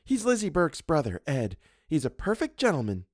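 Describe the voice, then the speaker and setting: A man's voice: fairly high pitch, wildly swooping pitch, moderate pace, no echo, clean studio sound, pitched above the performer's own voice. A man voicing a merry young woman, an artist's model in a story, chattering in a breezy, gossipy way. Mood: merry, chatty.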